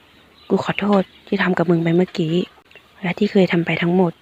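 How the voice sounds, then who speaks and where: A woman voicing a possessive young male tough-guy student who talks slowly, low and soft, in a dull lo-fi recording. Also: fairly even intonation, a little hiss or hum, close to an everyday voice. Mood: tender.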